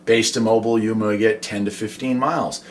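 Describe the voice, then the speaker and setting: A man's voice: fairly low pitch, fairly even intonation, brisk, slight background noise. An American man hosting a casual explainer about his radio hobby, talking in a folksy, conversational way with rhetorical questions.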